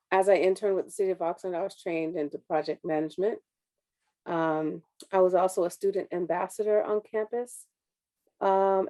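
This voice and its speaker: A woman's voice: mid-range voice, mellow. A woman in her thirties, a city councilwoman, giving an earnest, reflective personal speech over a video call. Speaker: city councilwoman, panel speaker. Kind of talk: personal speech at an online forum.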